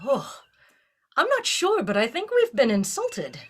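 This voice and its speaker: A woman's voice: high-pitched, huge pitch swings, a little slow, full character voice. A woman playing a bold, persuasive female starship captain in a fan audio drama, speaking with theatrical emphasis. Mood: wry. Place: remote virtual production.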